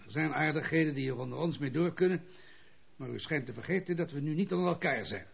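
Creaky, husky old aristocratic general. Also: male voice, a little high, exaggerated voice. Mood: hesitant, courteous. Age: older. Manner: hesitant, courteous, faltering with small pauses. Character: courteous, hesitant old aristocratic grandfather, retired general. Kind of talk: Dutch radio play acting. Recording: tinny phone-like old radio play recording.

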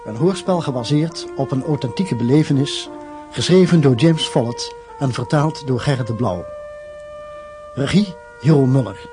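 A man's voice: mid-range voice, animated, normal speed, music in the background. A man formally announcing the title and credits of a radio play.

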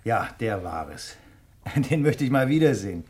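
Radio play: courteous, inquisitive traveller, husky mid-range voice, well-mannered and conversational. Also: male voice, medium pitch, sing-song, normal speed, a little mellow. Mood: polite, easygoing. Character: courteous male traveller, easygoing, politely inquisitive. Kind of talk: German radio play acting.

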